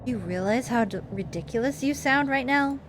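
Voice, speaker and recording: A woman's voice: fairly high pitch, moderate pace, slight background noise, close to an everyday voice. A woman voicing a prickly, exasperated female cousin in a visual novel game, scolding and sarcastic. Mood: dismissive, irritated.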